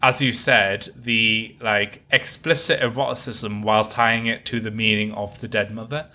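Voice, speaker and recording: A man's voice: fairly low pitch, fairly even intonation, normal speed, sharp tone. A man co-hosting a casual music discussion, talking in an effusive, analytical and wordy way. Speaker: podcast co-host.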